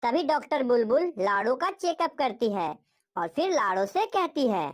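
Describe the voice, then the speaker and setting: Female narrator for a cartoon fable: high-pitched, lively rise and fall, scripted storytelling. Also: normal speed.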